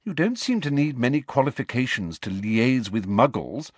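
A man's voice: mid-range voice, very animated, normal speed, breathy edge, dry recording. A man voicing an earnest, studious teenage girl in a storybook, absent and matter-of-fact. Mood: absorbed.

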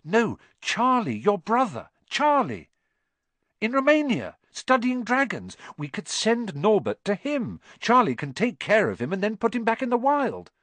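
A man's voice: fairly high pitch, big pitch swings, moderate pace, hardly any pauses, fairly bright tone, no echo, voice pushed up. A man voicing an earnest, urgent schoolboy for a storybook reading. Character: earnest, urgent schoolboy trying to reason with friends.

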